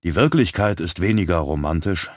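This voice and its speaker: Man doing a low-voiced chill detective guy, dry and matter-of-fact. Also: animated, fairly quick, no echo. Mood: calm. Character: calm male police detective, newcomer.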